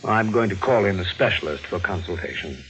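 An actor playing a grave family doctor in a 1940s radio mystery, with a measured, professional manner. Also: male voice, low-pitched, animated, a bit bright, dull audio. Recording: vintage radio recording with music.

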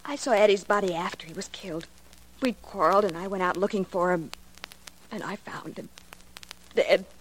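A woman's voice: mid-range voice, moderate pace, long pauses, slight background noise, close to an everyday voice, low-quality recording. A woman acting a distressed, pleading young dancer in a radio melodrama, emotional and protesting. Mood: subdued, confessing. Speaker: actress.

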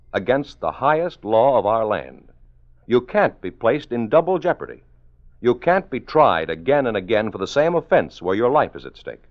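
A male announcer giving grave, persuasive scripted narration that speaks straight to the listener. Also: lowish voice. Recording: dull old radio broadcast.

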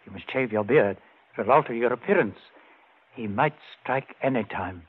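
A man's voice: mid-range voice, moderate pace, slightly rough, lightly acted. An actor playing an earnest man set on avenging his brother, intense and emphatic in 1940s radio drama style. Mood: urgent, determined. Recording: muffled, scratchy vintage radio broadcast.

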